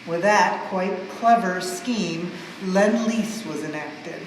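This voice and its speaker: A woman reads a storybook aloud for children with expressive, measured emphasis on the rhymes. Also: on the low side. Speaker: museum staff member reading a story aloud. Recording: very echoey large hall, some noise.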